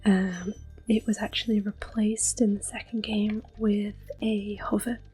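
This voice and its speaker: Woman, ASMR game commentary, breathy whispers, gentle, slow, faint music behind. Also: mid-range voice, flat delivery. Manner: gentle, unhurried. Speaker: ASMR gameplay host.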